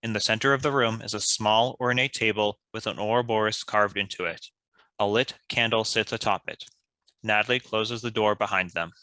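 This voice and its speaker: Bright, forceful script narrator. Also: mid-range voice, fairly even intonation, moderate pace. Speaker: stage direction reader. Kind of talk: scripted narration, reading stage directions.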